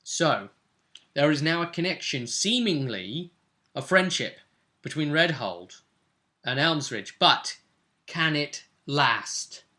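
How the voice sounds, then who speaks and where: A man's voice: fairly high pitch, expressive, takes it slowly, sharp tone. A man acting as game master, recapping a tabletop fantasy session in a conversational, storytelling way. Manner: storytelling, acting out quoted dialogue.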